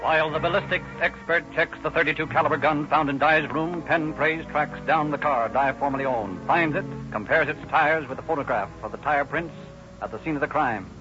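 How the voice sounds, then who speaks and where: An actor giving formal, scripted crime-story narration in a 1930s radio drama. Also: male voice, fairly high pitch, brisk, over music, natural voice. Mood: dramatic, formal. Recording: dull old radio recording.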